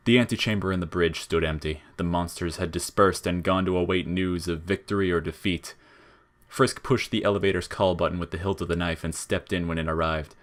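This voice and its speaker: A man narrating scripted audio fiction in a measured storytelling manner. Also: low-pitched, steady tone.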